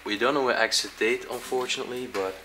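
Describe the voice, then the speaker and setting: A young man casually exploring a dark abandoned aquarium, curious and describing what he finds, with short laughs. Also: mid-range voice, fairly even intonation, fast, a little hiss or hum, poor audio. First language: Dutch. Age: young adult. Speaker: urban explorer.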